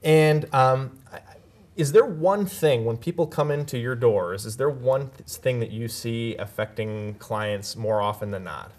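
A man's voice: mid-range voice, unhurried, a little mellow. A man in his thirties hosting a talk show, interviewing guests in a conversational way. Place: small TV studio set, indoors.

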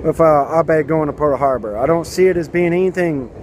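A man's voice: fairly high pitch, a little fast, darkish tone, slight background noise. A man in his thirties giving sarcastic political commentary to a handheld camera outdoors. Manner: sarcastic, mocking, puts on silly voices for quotes. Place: outdoors by a garden and building. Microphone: camera at arm's length.

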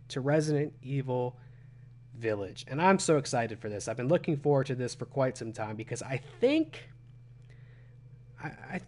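Young guy w a cold, raspy phlegmy voice, kinda high, chatty streamer at his desk. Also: a little mellow. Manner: chatty, self-deprecating. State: hoarse from a cold. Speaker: gaming streamer. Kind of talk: casual stream chat.

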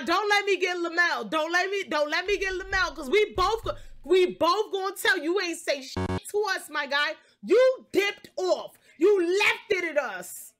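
A young woman telling a story casually on a livestream, expressive, slangy and sweary. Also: very high voice. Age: young adult. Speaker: game streamer.

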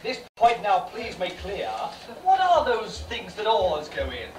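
A man's voice: high voice, wildly swooping pitch, measured pace, exaggerated voice. A man playing a mock-prim, flirtatious dame in a bawdy amateur pantomime, arch with innuendo. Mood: mock shock. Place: small bar stage, distant camera. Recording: echoey noisy bar, distant mic.

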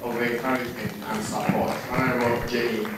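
A man's voice: mid-range voice, little pitch movement, fairly quick, very noisy. Guy mc-ing an awards night, polite and formal, really echoey, a bit far from the mic. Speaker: master of ceremonies. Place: indoor function room at awards dinner. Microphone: handheld microphone, picked up from the room. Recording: very echoey room, off the PA.

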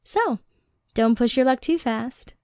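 A woman playing a dorky, affectionate young girlfriend in an intimate ASMR roleplay, expressive and teasing, close on the mic. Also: fairly high pitch, slow, clear, resonant tone, dry recording. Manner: playful, expressive, teasing, nerdy rambling. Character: playful, dorky, Halloween-obsessed young girlfriend, excitable and affectionate. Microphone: close, dry studio microphone.

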